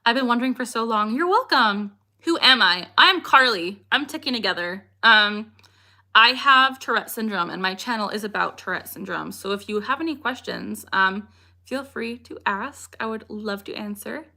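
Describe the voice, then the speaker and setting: A woman's voice: a little high, expressive, moderate pace, pushed and bright. A young woman chatting casually on a livestream and answering viewers' questions. She is upbeat and laughs often, and her sentences are broken by sudden vocal tics.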